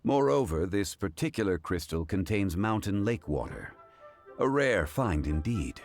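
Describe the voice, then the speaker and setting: An actor playing a calm, learned expert in a video game who speaks in a measured, formal way. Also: male voice, low-pitched, exaggerated voice. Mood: composed. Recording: game audio with background music. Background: game music.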